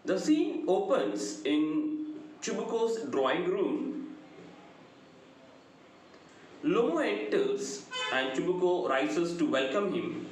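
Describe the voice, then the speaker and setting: A middle-aged man with a South Indian accent gives a prepared literature lecture in deliberate, measured short phrases. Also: high-pitched, very animated. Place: indoor room, very reverberant. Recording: very echoey room, noticeable background noise.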